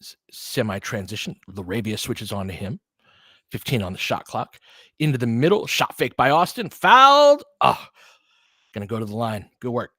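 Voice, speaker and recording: A man's voice: medium pitch, very animated, moderate pace, sharp tone, no echo. A man doing basketball play-by-play commentary in clipped phrases, with informal asides and casual analysis.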